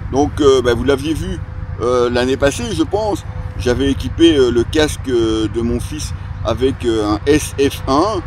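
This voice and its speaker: Middle-aged male gear reviewer, Belgian French accent, mid pitch, casual and explanatory, recorded outside over audible vehicle noise. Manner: hesitant, explanatory, conversational. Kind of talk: casual unscripted product review to camera. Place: outdoors, stopped during a motorcycle ride. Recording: clear outdoor ambience and traffic noise.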